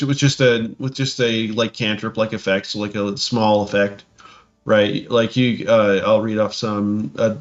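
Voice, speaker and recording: A man co-hosting a podcast, casually discussing tabletop game rules and haltingly thinking aloud as he reads. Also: lowish voice. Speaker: podcast co-host. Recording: dull lo-fi audio, music behind.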